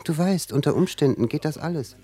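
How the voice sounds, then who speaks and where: A man's voice: fairly high pitch, lively intonation, a little fast, dark tone, husky. A man acting in a German radio play as an ambitious young writer, a devoted but anxious and bitter lover. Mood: hopeful, persuading.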